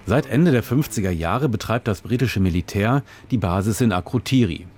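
A man narrating a scripted radio reportage in a measured, descriptive storytelling manner. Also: fairly low pitch, lively intonation, a little mellow, a little hiss or hum.

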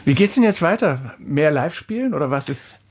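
Husky-voiced male interviewer. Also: a little high, sing-song, moderate pace. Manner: polite and formal, prepared questions. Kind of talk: interview questions.

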